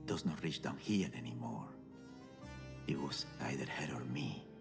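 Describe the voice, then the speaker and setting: Very deep, gravelly, brooding villain. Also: male voice, heavily acted. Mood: grim, ominous. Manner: slow, brooding, ominous. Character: grim, fatalistic man lurking in a horror game. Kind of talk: video game dramatic acting. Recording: voice under plucked guitar music.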